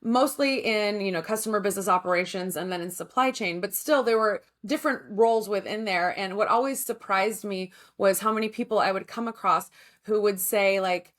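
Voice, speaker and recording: A woman's voice: mid-range voice, fairly quick, a bit bright. A woman in her thirties hosting a podcast interview, chatting in an upbeat way and affirming her guest often. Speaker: podcast host. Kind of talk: casual interview.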